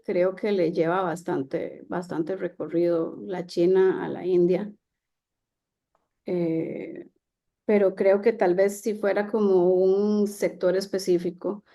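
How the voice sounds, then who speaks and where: A woman lecturer answers audience questions, pausing often and restarting her sentences. Her voice has a gravelly fry and a dark, mellow tone. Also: medium pitch. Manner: hesitant, many fillers and restarts. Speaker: guest speaker on trade. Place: seated, online talk.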